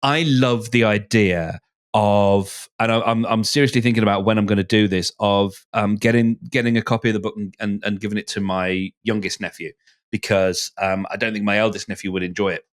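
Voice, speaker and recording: A man with a lowish voice speaks as a guest on a discussion podcast. He is reflective and halting, repeating phrases as he works through each thought. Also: fairly even intonation.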